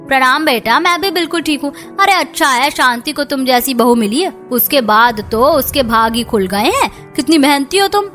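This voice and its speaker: A woman's voice: sits high, expressive, moderate pace, few pauses, a bit bright, soft background music. A woman voicing a chatty, effusive middle-aged neighbour auntie who teases and pries in a cartoon story. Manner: effusive, nosy. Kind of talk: Hindi cartoon dialogue acting.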